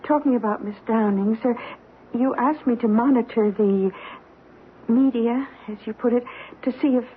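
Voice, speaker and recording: Actress as high-voiced, hesitant secretary. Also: female voice, normal speed, natural voice. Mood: concerned. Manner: hesitant, polite. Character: deferential, concerned female secretary. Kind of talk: old radio drama acting. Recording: muffled, faintly noisy old radio recording.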